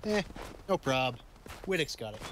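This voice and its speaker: A man's voice: mid-range voice, big pitch swings, a little slow, noisy background. A man playing a dry, weapon-loving sidekick in an adventure audio drama. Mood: blunt. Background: dramatic background music.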